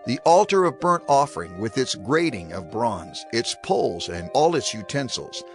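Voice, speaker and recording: A commanding biblical prophet in an audio drama. His delivery is solemn and declamatory, with widely swinging intonation and deliberate phrasing. Music plays under his voice. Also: medium pitch, big pitch swings. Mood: solemn instruction. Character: Moses, male prophet solemnly commanding his assembled people. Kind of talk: dramatized Bible reading.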